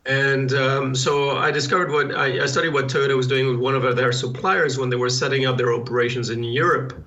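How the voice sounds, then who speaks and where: Guy guesting on a podcast, bright sharp voice, kinda muffled remote line, thinks out loud. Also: mid-range voice, flat delivery. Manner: thoughtful, self-deprecating, halting. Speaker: guest, lean consultant. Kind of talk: interview answers.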